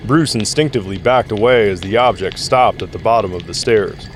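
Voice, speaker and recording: A man's voice: fairly low pitch, brisk, faint music behind. A man reading dramatic scripted narration for an action audio drama.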